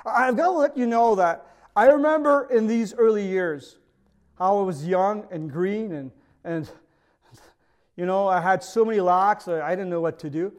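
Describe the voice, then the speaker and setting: A man's voice: high-pitched, normal speed. A middle-aged man with a French accent, whose first language is French, preaching a sermon as pastor. He speaks earnestly and often turns to the congregation with questions.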